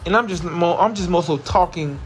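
Man hosting a motivational podcast, fairly high voice with a carrying tone, soft music underneath. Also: a little fast. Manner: earnest, repetitive, building to excited emphasis. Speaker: podcast host. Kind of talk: motivational solo podcast monologue.

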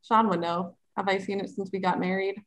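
A woman's voice: mid-range voice, fairly even intonation, a little fast, a little hiss or hum. A woman co-hosting a casual movie podcast, talking in a chatty way with lots of fillers. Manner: chatty, nostalgic, filler-heavy. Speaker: podcast co-host.

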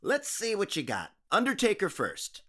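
A woman playing a gruff, curt, dismissive pawnbroker in a radio drama. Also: medium pitch, huge pitch swings, moderate pace, a bit bright. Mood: curt, haggling. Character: gruff pawnbroker Joe, singing and haggling. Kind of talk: theatrical radio drama acting.

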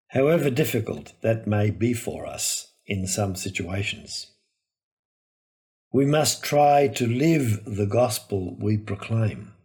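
A man giving a solemn, reflective scripted sermon in measured, deliberate phrases. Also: fairly low pitch, darkish tone. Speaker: preacher giving a Gospel homily.

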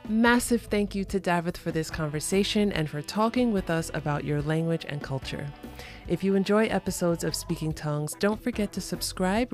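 A woman hosting a language podcast, reading her scripted introduction in an upbeat, clearly spoken way before she starts the interview. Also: lowish voice, darkish tone. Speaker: podcast host.

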